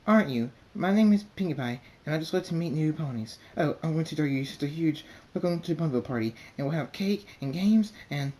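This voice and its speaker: A man voicing a bubbly, hyper young female pink pony who chatters in excited squeals. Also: a little high, brisk, hardly any pauses, pitched above the performer's own voice, lightly acted. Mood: giddy.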